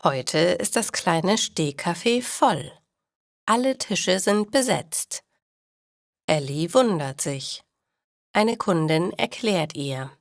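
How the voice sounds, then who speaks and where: A woman narrating scripted stories for language learners, reading in a deliberate, clearly articulated manner. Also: on the low side, expressive, dry recording, studio quality.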